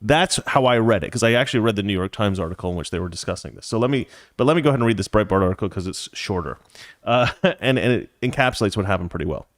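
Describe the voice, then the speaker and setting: A man's voice: on the low side, animated, fast, no room sound, studio quality. A man hosting a talk show, giving casual political commentary in a rambling, conversational way that drifts into anecdotes.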